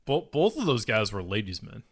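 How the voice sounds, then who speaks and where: A man co-hosting, talking casually in a relaxed group conversation. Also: lowish voice, brisk, husky. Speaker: podcast co-host. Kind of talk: casual panel chat.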